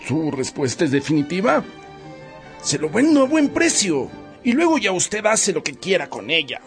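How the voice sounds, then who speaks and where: A man's voice: sits high, huge pitch swings, normal speed, full character voice. A man acting as an insistent landowner in a radio drama, bargaining to make a sale. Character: insistent landowner haggling to sell his estate. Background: music.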